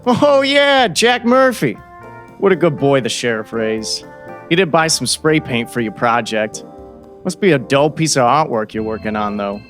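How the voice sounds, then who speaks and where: A man's voice: mid-range voice, animated, unhurried, a bit bright, faint music behind. A man playing a chatty, nosy small-town shopkeeper in an audio drama, with a folksy, overfriendly salesman's manner. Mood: cheerful, chatty. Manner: overly forthright, folksy, salesman-like.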